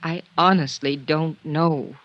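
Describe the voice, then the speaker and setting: Guarded, strained wife, old radio drama. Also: female voice, sits low, fairly even intonation, takes it slowly. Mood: evasive. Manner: polite, guarded, hesitant. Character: strained, evasive gangster's wife holding back tears. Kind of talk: 1950s radio drama acting. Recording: dull, dry 1950s radio recording.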